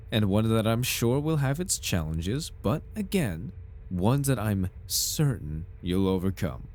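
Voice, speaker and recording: A man's voice: on the low side, a little mellow. A man playing a suave, over-polite corporate recruiter in an ASMR roleplay, with a formal, unctuous salesman's patter. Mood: reassuring, smooth. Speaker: voice actor in an audio roleplay. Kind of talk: ASMR roleplay, scripted in-character monologue.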